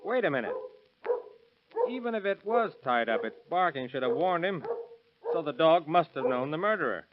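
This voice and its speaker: A man's voice: on the high side, animated, moderate pace, background noise, close to an everyday voice. An actor playing a plainspoken, puzzled lawman with a folksy, matter-of-fact manner in 1930s radio drama. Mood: speculating. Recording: muffled vintage radio with crowd-like background.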